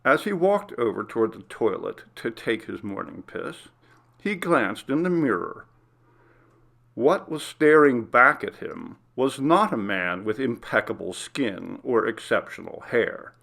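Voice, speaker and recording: A man's voice: medium pitch, sing-song, measured pace, gravelly, a bit bright. A man reading a scripted horror story as its narrator.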